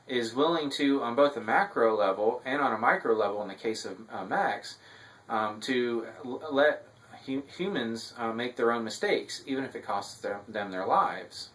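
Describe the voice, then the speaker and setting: A man delivering a semi-scripted recap and review as commentary, with a halting delivery full of filled pauses. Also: medium pitch, fairly bright tone, rough recording. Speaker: TV episode reviewer.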